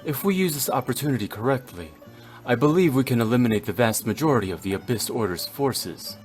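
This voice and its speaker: A man's voice: medium pitch, animated, brisk, a little mellow, soft background music, natural voice. A man voicing a stoic, cold and brooding warrior in a video game, with solemn, formal, terse delivery. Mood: grim, calculating. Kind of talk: dramatic video game voice acting.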